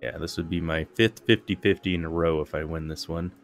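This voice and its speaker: A man with a low voice talks through a video game as he plays. It is casual commentary from a gaming host, with game music behind him. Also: fairly even intonation, brisk.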